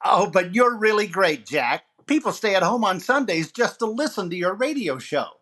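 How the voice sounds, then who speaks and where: Man playing a lively old-time radio comedy host. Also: sits high, normal speed, heavily acted. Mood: incredulous. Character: wisecracking male comedian hosting a radio variety show. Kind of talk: 1940s radio comedy recreation.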